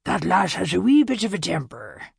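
A woman's voice: fairly low pitch, sing-song, normal speed, vocal fry, darkish tone, husky, no echo, heavily acted. A woman voicing a male statue with a thick Scottish brogue. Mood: teasing.

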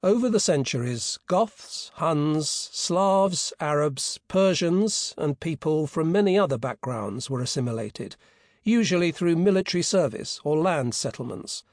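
Guy narrating a history audiobook, slightly high voice, careful and measured, dead dry recording. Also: lively intonation, a little mellow, no echo. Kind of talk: scripted narration of a history book.

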